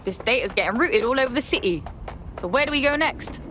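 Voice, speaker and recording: An actress playing a streetwise young London hacker activist in a game, with a slangy, blunt, casual delivery. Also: female voice, high-pitched, expressive, fast. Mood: curious, urgent. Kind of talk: game voice acting. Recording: clear background vehicle noise.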